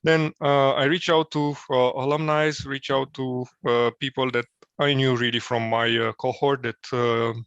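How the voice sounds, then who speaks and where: A man's voice: mid-range voice, flat delivery, a bit bright, clear, resonant tone, no room sound. Man, dull dry call audio. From Romania. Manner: thoughtful, many filler pauses. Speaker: interview guest. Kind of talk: casual career interview.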